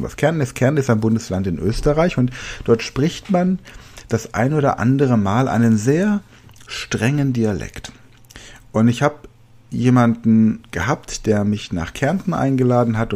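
A man's voice: mid-range voice, darkish tone, breathy edge. A man in his forties hosting a solo podcast, coaching listeners directly in a conversational way with casual asides. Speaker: podcast host and language trainer.

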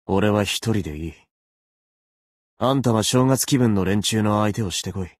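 Man as unsociable loner swordsman, low voice, curt, irritable, dry game recording. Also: normal speed, no room sound, natural voice. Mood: curt, annoyed. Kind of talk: Japanese game character voice lines.

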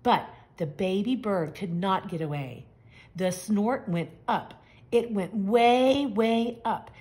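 A woman reading a picture book aloud to young children, with expressive, simple and emphatic phrasing. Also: mid-range voice, slow. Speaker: children's story reader. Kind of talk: expressive storybook read-aloud.

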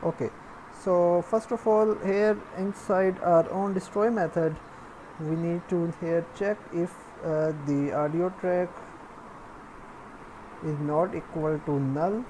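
A woman giving a step-by-step programming tutorial, dictating in a halting, piecemeal way as she works. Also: on the low side, steady tone, mellow, slight background noise, poor audio. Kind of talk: step-by-step screencast tutorial, spoken while typing.

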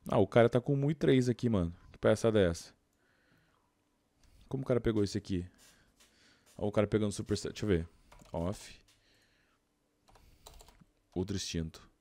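A man's voice: on the low side, normal speed, pauses often, mellow, no room sound. A Brazilian man doing casual gameplay commentary, informal and slangy, thinking aloud into a close mic. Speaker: gaming YouTuber.

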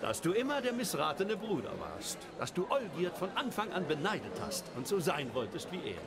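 Sly smug game schemer, silky, theatrical, high-pitched, lively intonation. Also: male voice, normal speed. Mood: taunting. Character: sly, smug stranger, a tempting male schemer. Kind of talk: dramatic game voice acting. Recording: loud crowd chatter behind.

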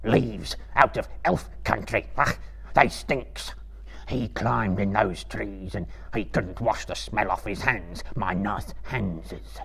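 A man voicing a wretched, skinny, malicious cave creature with a raspy, hissing, whining voice in a live story reading. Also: on the low side, sharp tone, full character voice. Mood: disgusted. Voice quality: raspy, creaky, hissing whisper. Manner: whining, drawn-out, self-pitying muttering.

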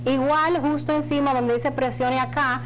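High-voiced woman explaining. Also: fairly even intonation, normal speed, slight background noise, rough recording. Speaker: financial agency spokeswoman, studio guest. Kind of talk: explanatory interview talk. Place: small indoor TV studio.

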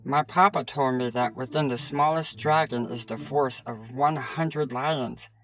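A man's voice: medium pitch, fairly even intonation, a little slow, fairly bright tone, close to an everyday voice, poor audio. A man voicing an earnest young dragon in a storybook. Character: young dragon growing brave.

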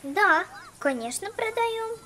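A woman's voice: very high voice, sing-song, takes it slowly, heavy background noise, heavily acted. A woman voicing a bored, haughty, greedy queenly receptionist doll in a children's cartoon, with a theatrical, grandiose manner. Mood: businesslike.

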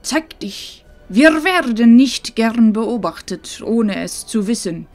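A woman's voice: on the high side, lively intonation, slow pace, faint music behind. A woman playing an anxious, protective old village seer, urgent and pleading, in a fantasy audio drama. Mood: alarmed.

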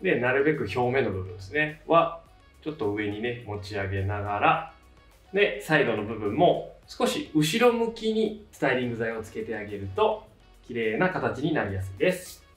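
A man's voice: medium pitch, sing-song, normal speed. A man in his thirties explaining a tutorial step by step in a casual, polite instructional style. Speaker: hairdresser giving a tutorial, channel host. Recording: roomy echo, distant mic, faint music. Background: faint background music.

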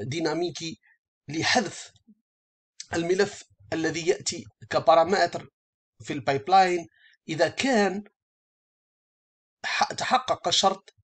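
Hesitant higher-voiced man teaching software. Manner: hesitant, halting, often rephrasing. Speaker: software instructor. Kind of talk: screen-share tutorial, explaining step by step.

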